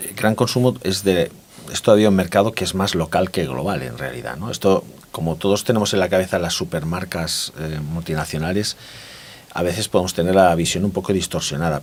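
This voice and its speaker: A man's voice: sits low, fairly quick, slightly rough. A man from Barcelona with a Spanish accent from Spain, explaining his views reflectively as an interview guest and often checking for agreement. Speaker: interview guest, consumer market expert. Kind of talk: conversational interview, explanatory talk.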